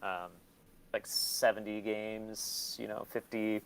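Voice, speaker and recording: A man chatting casually as an interview guest, blunt, self-deprecating and quick to swear. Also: on the low side, flat delivery, clear, resonant tone, rough recording. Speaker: interview guest, museum and record shop owner. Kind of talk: casual chat.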